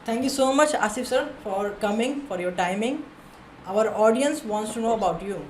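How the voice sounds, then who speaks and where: A woman's voice: a little high, lively intonation, moderate pace. Woman, podcast host, informal questions, roomy echo, faint music. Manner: informal, frequent back-channel murmurs. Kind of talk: hosting an interview podcast.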